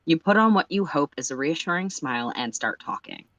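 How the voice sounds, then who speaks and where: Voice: medium pitch, animated, a little fast, fairly bright tone, no echo, highs cut off. A person reading fan fiction aloud on a livestream in a casual narrating style. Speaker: livestream story reader. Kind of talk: casual story read-aloud.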